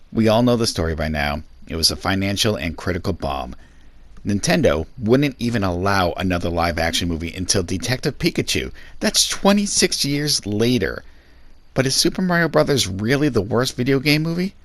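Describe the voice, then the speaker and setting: A man with a fairly low voice presents scripted review commentary. His pitch swings widely as he gives blunt, sarcastic opinions with casual swearing. The recording is dry and close, with little room sound. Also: fairly bright tone, dry recording. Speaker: video host and reviewer.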